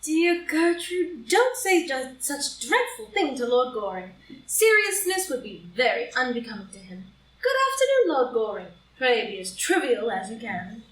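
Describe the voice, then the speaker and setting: Woman acting pert high-voiced young society miss, echoey. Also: big pitch swings, moderate pace, fairly bright tone. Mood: teasing. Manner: playful, pert. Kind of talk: live group reading of stage comedy. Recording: roomy, distant, noisy live group recording.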